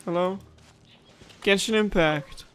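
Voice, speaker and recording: A man's voice: high voice, slow, fairly bright tone. A man giving casual let's-play commentary, musing aloud and rambling with playful complaints. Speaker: gaming streamer. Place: own room at home. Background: game music.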